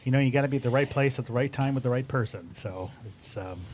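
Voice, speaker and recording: A man's voice: mid-range voice, quick pace, a little mellow, slight background noise. A middle-aged man chatting casually as a guest writer, with a dry, self-deprecating manner. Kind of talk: casual chat.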